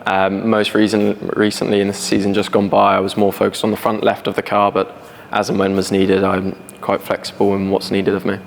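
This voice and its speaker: A twenty-year-old man with a low, gravelly voice who answers thoughtfully and rephrases as he goes. The room adds a long echo, with a faint hiss underneath. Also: completely flat delivery, fairly quick. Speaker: student rally team member. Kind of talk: casual interview answers. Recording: reverberant room.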